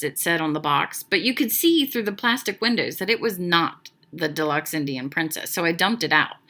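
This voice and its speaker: A woman narrates a children's book with very lively, swooping intonation. Her voice is bright and forward, and she tells the story in a dramatic, expressive way. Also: fairly low pitch. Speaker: read-aloud narrator.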